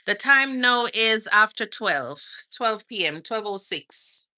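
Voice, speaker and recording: A woman's voice: mid-range voice, expressive, slow, sharp tone. A woman from Jamaica with a Jamaican accent, chatting casually on a livestream from outdoors and greeting viewers by name. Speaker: vlogger and nurse.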